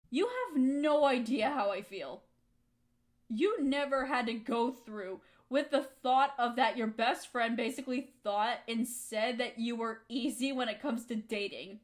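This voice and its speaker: A young woman acting a hurt, resentful friend in a dramatic audio roleplay, speaking in an emotional, accusing way. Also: high-pitched. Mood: wounded, pleading.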